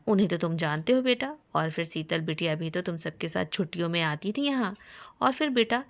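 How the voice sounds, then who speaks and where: A woman voicing a kindly old grandfather who coaxes his family affectionately in a story. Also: lowish voice. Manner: affectionate, coaxing.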